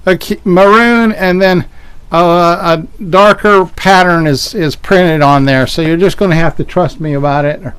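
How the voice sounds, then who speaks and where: High-voiced, smooth joking man. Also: fairly even intonation, normal speed, a bit bright, dry recording. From Western Pennsylvania. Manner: joking, wry asides. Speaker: fabric shop co-host. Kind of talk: casual live product showcase.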